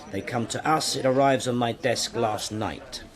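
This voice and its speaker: An actor playing a stern British senior detective in a radio drama, with a clipped, commanding delivery. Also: male voice, mid-range voice, measured pace, a crowd in the background, natural voice. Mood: businesslike. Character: stern, authoritative male senior police detective briefing his team. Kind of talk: BBC radio drama acting.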